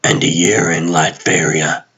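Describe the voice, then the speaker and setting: Man playing husky, commanding secret superhero. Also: low voice, level tone, bright, forceful tone, highs cut off, heavily acted. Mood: probing, terse. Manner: terse, deliberate. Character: guarded, commanding male TV producer and secret superhero. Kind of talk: comic dub voice acting.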